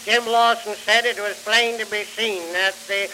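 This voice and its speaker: A man's voice: high-pitched, little pitch movement, moderate pace, pushed and bright. A man playing a cheerful, folksy country storyteller with a rural American accent in an early 1900s comic monologue, on a hissy, crackling phonograph recording. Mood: folksy, jovial. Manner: homespun, chuckles between anecdotes.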